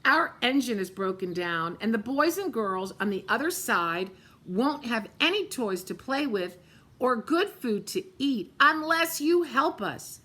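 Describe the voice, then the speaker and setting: A woman's voice: a little high, big pitch swings, unhurried, sharp tone, exaggerated voice. A woman voicing begging little dolls and toys. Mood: pleading. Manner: begging, imploring. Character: pleading chorus of dolls and toys.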